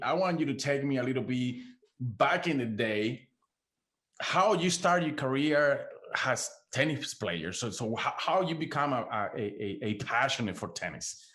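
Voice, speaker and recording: A man from Venezuela hosts a podcast interview, speaking with a Venezuelan Spanish accent. He talks with enthusiasm, repeats words as he goes and laughs often, at a middling pitch. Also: a bit bright. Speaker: podcast host. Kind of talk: casual interview.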